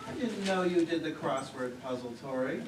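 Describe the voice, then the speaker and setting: Actor as a dry, patient husband, very noisy recording. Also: male voice, a little high, animated, normal speed, lightly acted. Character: patient, dry husband absorbed in his newspaper. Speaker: actor in a stage comedy. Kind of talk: live one-act stage play acting. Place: theater stage, picked up from a distance. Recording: echoey, distant, very noisy theater recording.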